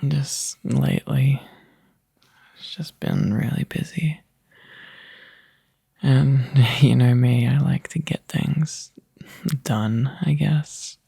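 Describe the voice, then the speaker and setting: A man playing a tired, overworked young boyfriend in a soft, intimate ASMR roleplay, halting and breathy, often dropping into a whisper close to the mic. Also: medium pitch, no room sound, exaggerated voice. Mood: weary, apologetic. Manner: halting, drowsy, sighing delivery. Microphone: close microphone, dry.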